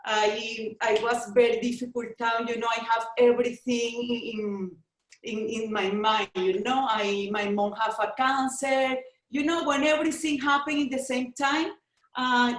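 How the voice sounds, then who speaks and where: A woman's voice: fairly high pitch, steady tone. Venezuelan woman, echoey video call. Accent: Venezuelan Spanish. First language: Spanish. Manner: halting, repetitive non-native English, warm earnest. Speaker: guest speaker, Camino tour company founder. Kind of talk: heartfelt personal story on a video call.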